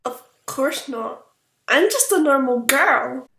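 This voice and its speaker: A woman voicing a sweet, naive young forest girl, girlish and expressive, in a comic fan dub. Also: sits high, slow, echoey room, exaggerated voice. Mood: gentle, wistful. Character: gentle young magical forest girl, naive, playful, fiery when hurt.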